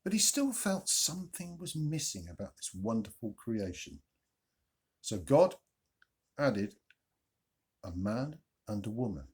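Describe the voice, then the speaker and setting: A man narrating a children's Bible story, with expressive emphasis and questions put to the listeners. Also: lowish voice, husky. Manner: expressive, dramatic emphasis and pauses. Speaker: storyteller, reader.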